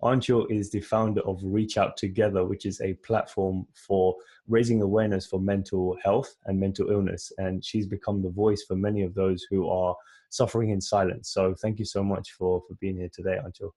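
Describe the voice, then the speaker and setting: A man's voice: deep voice, level tone, normal speed, mellow. A man hosting a podcast, interviewing in a conversational style. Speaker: podcast host.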